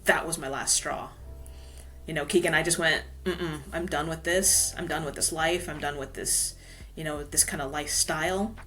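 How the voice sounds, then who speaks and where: A woman's voice: sits low, steady tone, moderate pace, bright, forceful tone, soft background music. A woman from Hawaii, a guest author telling her story in a casual podcast interview, filling her talk with "you know". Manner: chatty, many "you know" fillers.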